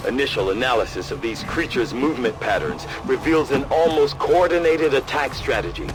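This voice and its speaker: Male actor as analytical game scientist, articulate, formal, middling pitch, loud music behind. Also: a little fast, close to an everyday voice, low-quality recording. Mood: measured intrigue. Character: male scientist analysing monstrous creatures, measured and intrigued. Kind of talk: scripted video game dialogue.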